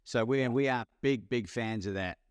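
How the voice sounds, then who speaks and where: A man co-hosting an interview who talks in a steady, explanatory way. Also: medium pitch, moderate pace, clean tone, no room sound. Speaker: podcast co-host. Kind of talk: casual interview talk.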